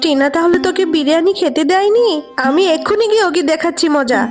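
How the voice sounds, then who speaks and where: A caring but firm cartoon aunt played by a woman, with a very high voice. She talks in a motherly way over faint music. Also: lively intonation, fast. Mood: scolding. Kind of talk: children's cartoon voice acting.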